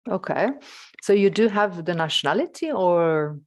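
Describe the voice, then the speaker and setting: A woman's voice: lowish voice, expressive, normal speed. A woman hosting a conversational interview, putting questions to her guest. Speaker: interviewer, host.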